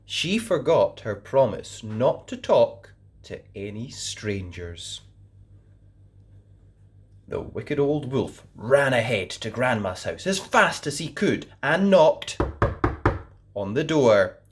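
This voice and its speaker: A woman reading a children's story aloud in a low, gentle voice. She takes her time with every phrase, her pitch rising and falling widely to keep young listeners hooked. The telling is warm in rhythm and expressive. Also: takes it slowly. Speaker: storyteller host.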